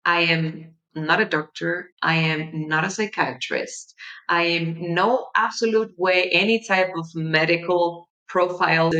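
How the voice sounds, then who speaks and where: A young woman from Mexico, a native Spanish speaker with a Mexican Spanish accent, hosting her podcast in a gentle, reflective monologue with soft pauses. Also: lowish voice, poor audio. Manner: gentle, warm-hearted, unhurried with soft pauses. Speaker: podcast host.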